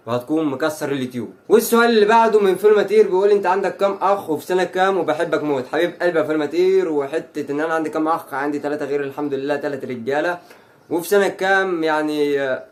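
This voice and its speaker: A man in his twenties with an Egyptian Arabic accent, a YouTuber answering fan questions to camera in a chatty, brash way. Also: a little high. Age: 20s. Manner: chatty and brash, rattles off lines. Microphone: phone.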